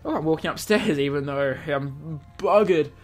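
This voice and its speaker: A man's voice: on the high side, normal speed, faint music behind. A man hosting a let's play, reading visual novel text dramatically and breaking off for playful asides. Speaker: gaming video host reading aloud.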